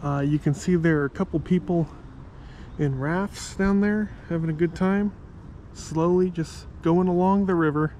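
Man, travel vlogger, slightly high-pitched, enthusiastic, rambling with self-corrections, outdoors in a park. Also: fairly even intonation, moderate pace, mellow, slight background noise. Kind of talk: narrated walking tour.

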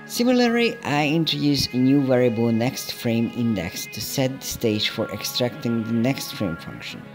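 A man calmly narrating a programming tutorial step by step in a scripted voice-over. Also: medium pitch, normal speed, rough recording. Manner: calm, methodical, explaining each step. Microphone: voice-over over screen recording. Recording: soft background music.

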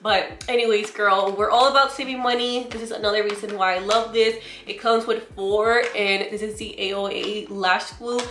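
A young woman with a naturally loud, mid-pitched voice talks straight to her viewers in a chatty, emphatic way. She films in her bedroom, and the room gives her voice a noticeable echo. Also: fairly even intonation, moderate pace, hardly any pauses, a little hiss or hum. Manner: chatty and emphatic, often says "girl" and "you guys". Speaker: beauty vlogger. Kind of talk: casual product haul talk to camera. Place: bedroom, indoors.

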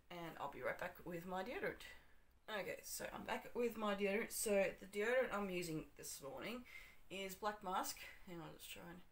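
A young Australian woman vlogging her morning at home, chatty and rambling, with a slightly croaky voice. She talks into her phone camera in a small bedroom and corrects herself often. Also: medium pitch. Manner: chatty, rambling, self-correcting with frequent ums. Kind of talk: casual day-in-the-life vlog. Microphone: phone camera, handheld or propped up.

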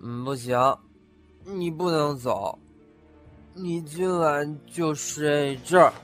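A man voicing a lively, talkative young treasure hunter in an amateur audio drama, teasing and chatty, flustered when scared. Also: fairly high pitch, takes it slowly. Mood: tipsy teasing. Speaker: lead character in an audio drama. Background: music.

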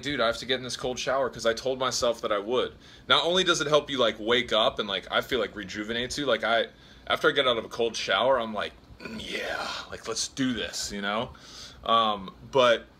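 A young man talking fast and upbeat to a phone camera held at arm's length, vlogging about fitness from a hotel room and city streets. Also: medium pitch, pushed and bright. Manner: upbeat, hyped, chatty. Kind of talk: casual vlog talk to camera.

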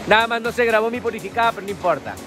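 A man's voice: high-pitched, animated, racing. A man, chatting jokily to his phone camera outdoors in a casual travel vlog. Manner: chatty, jokey, laughing often. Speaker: vlogger. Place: outdoors at a riverside park. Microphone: phone camera at arm's length. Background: background music.

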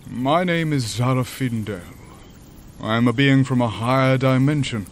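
An actor playing a grave, ancient demon from a higher dimension in a horror audio drama, speaking formally and deliberately. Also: male voice, slight background noise, close to an everyday voice. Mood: courteous. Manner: formal, deliberate, solemn, eloquent.

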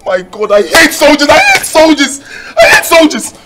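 Excitable young Nigerian man, very animated. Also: extremely high pitch, brisk, reverberant, heavy background noise. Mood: despairing rage. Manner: repeats words in frantic bursts. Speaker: actor. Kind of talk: Nollywood film drama acting.

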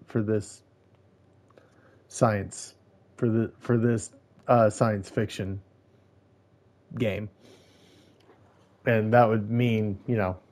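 A man hosting a casual game playthrough, musing aloud in a halting, rambling way. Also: on the low side, dark tone. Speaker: gaming channel host. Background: game music.

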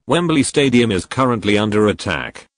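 Low-voiced man as emergency alert announcer. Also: sing-song, moderate pace. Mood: impersonal. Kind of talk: formal scripted public warning.

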